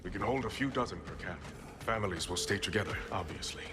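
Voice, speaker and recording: An actor playing a calm, blunt leader of a forest people in a game. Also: male voice, low voice, fast, noisy background, natural voice.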